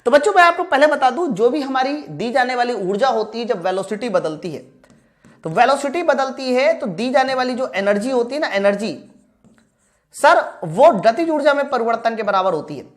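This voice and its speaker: A high-voiced woman teaches an exam class. She works through problems step by step, talking informally to her students. She often poses a question, then answers it herself and repeats key lines. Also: expressive, moderate pace. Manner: informal, addresses students directly. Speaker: physics teacher for exam preparation. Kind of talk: live online class, explaining problems step by step.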